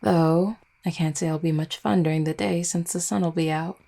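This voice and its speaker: Woman acting a mellow, low-voiced vampire girlfriend. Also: fairly even intonation, normal speed, creaky. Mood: thoughtful. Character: affectionate, gently teasing vampire girlfriend, low-key and caring. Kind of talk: intimate roleplay audio, soft conversational acting.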